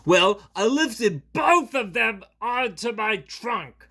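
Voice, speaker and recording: A man doing a slow booming storybook elephant. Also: animated, exaggerated voice. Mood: storytelling. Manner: slow, drawn-out, loud and emphatic. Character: worried big elephant, storybook best friend. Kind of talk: read-aloud children's picture book.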